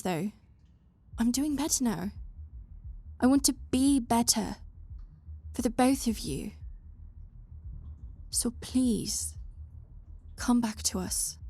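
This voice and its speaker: A woman's voice: a little high, warm tone. A woman voicing a stern, bossy but caring young woman who scolds someone she cares about. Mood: remorseful. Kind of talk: fan audio roleplay.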